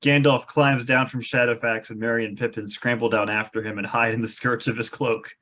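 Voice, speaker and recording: A man's voice: mid-range voice, level tone, fairly quick, pushed and bright. Male narrator, mid-range voice, scripted narration, stage directions, table read.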